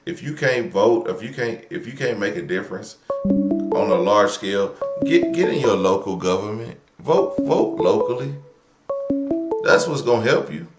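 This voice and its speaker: A man's voice: lowish voice, animated, normal speed, over music. An American man hosting a live call-in show, talking off the cuff in casual slang and repeating himself as he thinks aloud. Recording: roomy, echoing room sound.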